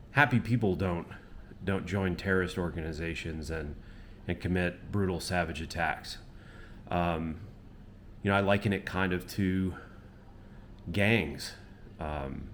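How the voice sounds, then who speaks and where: A man's voice: low voice, measured pace, pauses often, fairly bright tone, a little hiss or hum. A man from Florida talking casually to his camera outdoors at dusk, rambling and leaning on "you know" and "um". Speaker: travel vlogger. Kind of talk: casual reflective monologue to camera.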